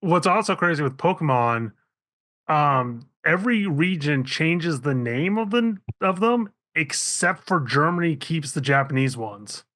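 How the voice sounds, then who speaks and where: A man's voice: a little high, lively intonation, a bit bright. A male guest in a casual group chat who teases the others and asks them questions. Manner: teasing, quick to laugh, asks the others questions. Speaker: movie club guest.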